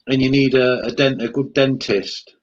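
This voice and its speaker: A man's voice: mid-range voice, steady tone, moderate pace. Man joking with a dull lo-fi sound. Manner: teasing, joking, reads chat aloud. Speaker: co-host of live chat stream. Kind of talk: casual live-stream banter.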